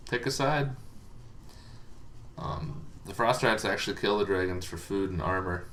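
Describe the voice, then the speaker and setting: A man's voice: lowish voice, unhurried, a bit bright, slight background noise. A man hosting a casual, informal explainer on tabletop game monsters, talking in a rambling, hesitant way as if thinking aloud.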